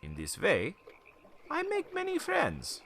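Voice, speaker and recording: A man's voice: extremely high pitch, wildly swooping pitch, slow pace, gravelly, sharp tone, a little hiss or hum, full character voice. An actor playing a wary, cynical old townsman who tells cryptic stories in a radio play, in a theatrical, rambling way. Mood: reminiscing. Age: older. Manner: cryptic, theatrical, rambling storytelling with knowing chuckles. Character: wary, cynical old male townsman, former shopkeeper, storyteller.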